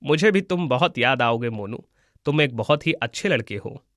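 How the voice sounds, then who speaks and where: A man voicing a gentle, wise young god disguised as a schoolboy, calm and reassuring, in a moral-story cartoon. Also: mid-range voice, normal speed, no echo, studio quality, pitched below the performer's own voice, close to an everyday voice. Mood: tender.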